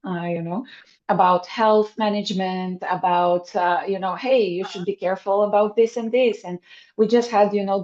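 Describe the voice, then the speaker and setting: A woman's voice: mid-range voice, mellow, lo-fi sound. A young woman from Bulgaria hosting a casual interview, talking enthusiastically with many fillers. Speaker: podcast host.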